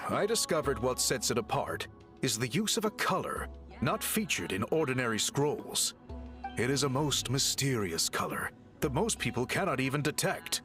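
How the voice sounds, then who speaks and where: Learned male scroll scholar, played by a man; formal, patient explanations with an animated lilt over soft game music. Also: medium pitch, lightly acted. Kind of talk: game dialogue.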